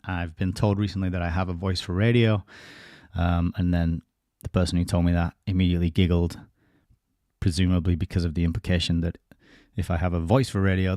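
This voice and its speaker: A man hosts his own live stream, talking loosely and conversationally and dropping wry asides along the way. His voice sits fairly low, and the close desk microphone gives a dry sound with no room echo. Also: little pitch movement, a little fast, warm tone. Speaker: host of a live-streamed commentary show. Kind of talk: solo live-stream commentary.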